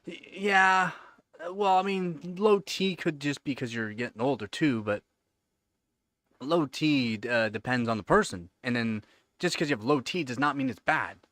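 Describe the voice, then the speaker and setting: A man's voice: medium pitch, normal speed, a bit bright, no echo, clean studio sound. A man in his thirties chatting casually on a livestream, answering viewers' questions in a rambling way, with many fillers and repeated words. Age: 30s. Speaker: livestream host. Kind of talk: casual live-stream chat answering viewers.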